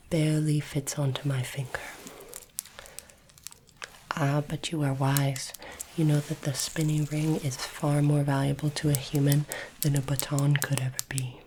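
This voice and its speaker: Casting: woman playing polite alien examiner of human items, low soft whisper, formal and curious, ASMR roleplay, faint background noise. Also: poor audio. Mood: measured, curious, then politely puzzled. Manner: slow, deliberate, formal phrasing.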